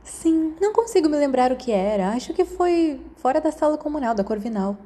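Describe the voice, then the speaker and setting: Lady doing a brisk schoolgirl in a storybook, matter of fact, bit high. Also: expressive, warm tone. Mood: prompt, informative.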